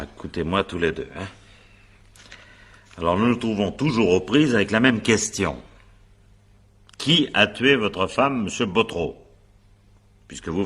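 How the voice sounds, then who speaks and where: An actor playing an examining magistrate in a French radio crime drama, probing and insistent, with a husky voice and pointed tag questions. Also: male voice, fairly low pitch, a bit bright, full character voice. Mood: probing, measured.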